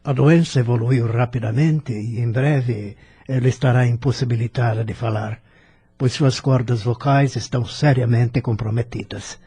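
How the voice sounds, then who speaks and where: A man playing a grave doctor in an audio drama, with a husky, mellow voice. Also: mid-range voice, steady tone, normal speed. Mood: somber. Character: grave male doctor giving bad news.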